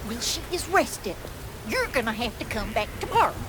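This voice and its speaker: An actress playing a prim, protective household servant in a video game, with a curt, officious manner. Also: female voice, a little high, very animated, moderate pace. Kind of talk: video game cutscene acting. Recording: noisy rainy ambience.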